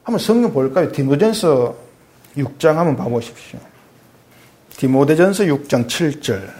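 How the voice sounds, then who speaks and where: Korean pastor preaching, rising and falling. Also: male voice, lively intonation, normal speed. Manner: conversational preaching, poses questions to the congregation; swings between raised emphatic lines and quiet low asides. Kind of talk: sermon.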